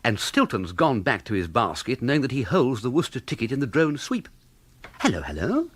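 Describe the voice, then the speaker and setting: An actor playing a breezy, idle young English gentleman in a radio comedy, with an upper-class accent and flippant, slangy speech. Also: mid-range voice, wildly swooping pitch, a little fast, a little hiss or hum. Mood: musing. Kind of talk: radio comedy drama acting.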